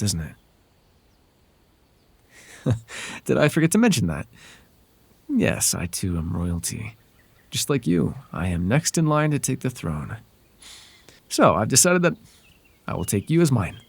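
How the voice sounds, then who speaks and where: A man performs a possessive male fae royal for an ASMR roleplay. The voice is fairly low and husky, swinging expressively in pitch, close and dry. He coaxes in courtly, silky phrases that carry a soft menace. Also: big pitch swings, unhurried, pauses often, no room sound, heavily acted. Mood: playful, possessive. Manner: courtly, coaxing, softly menacing. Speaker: voice actor.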